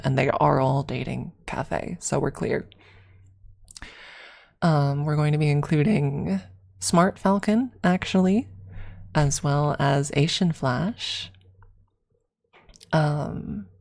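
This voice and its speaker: Woman, ASMR livestreamer, slow delivery, crackly vocal fry, soft casual chat, rambling, giggly. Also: low-pitched. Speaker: streamer hosting an ASMR livestream.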